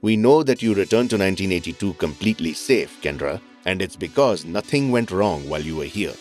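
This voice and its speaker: Reassuring doctor, low-pitched, thoughtful, calm, explanatory, audio drama. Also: male voice, brisk, faint music behind. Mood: reflective, explaining. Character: thoughtful, reassuring male doctor and family mentor.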